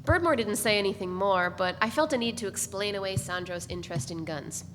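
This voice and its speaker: A woman's voice: mid-range voice, brisk. A woman reading scripted first-person literary narration aloud at a live event. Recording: reverberant hall, noisy live recording.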